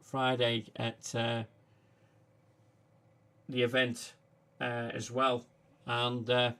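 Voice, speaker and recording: Gravelly, halting man with Manchester accent. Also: mid-range voice, monotone, bright, forceful tone. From England. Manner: halting, frequent "uh" fillers. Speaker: livestream host. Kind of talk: casual livestream chat.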